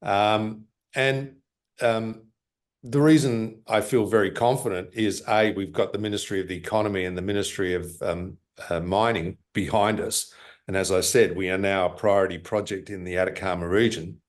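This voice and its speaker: Deep-voiced executive, halting, on a call. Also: male voice, steady tone. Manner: halting, many fillers and restarts. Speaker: mining company executive. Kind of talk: remote interview answers. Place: office in Lima.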